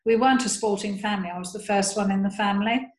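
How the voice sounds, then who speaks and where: A woman's voice: mid-range voice, steady tone, fast. Chatty woman in an echoey room. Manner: chatty, amused, laughing between stories. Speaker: fan guest. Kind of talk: casual anecdote.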